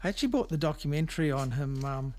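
A man hosting a music show on radio, talking casually and off the cuff in a halting, rambling, hesitant way. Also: on the high side, animated, darkish tone. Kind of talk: casual, unscripted back-announcing of records.

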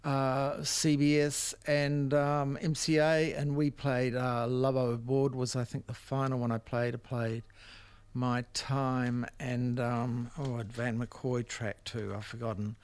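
An older man with a New Zealand accent, casually hosting a music show in a halting, rambling, unscripted way. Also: mid-range voice, flat delivery, smooth, no room sound. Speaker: radio music show host. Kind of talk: casual unscripted radio back-announcing. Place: dry radio studio.

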